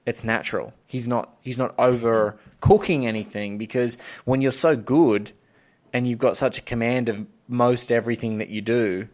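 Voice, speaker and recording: A man's voice: mid-range voice, fairly even intonation, moderate pace. A man hosting a podcast, talking casually and effusively about film in a rambling way. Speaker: podcast host.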